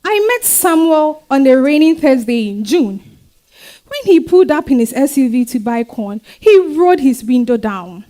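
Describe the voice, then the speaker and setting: A woman's voice: high voice, big pitch swings, normal speed, mellow. A woman with a Ghanaian accent acting a defiant, exasperated wife and mother in a dramatized stage reading. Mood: narrating. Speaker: stage actor.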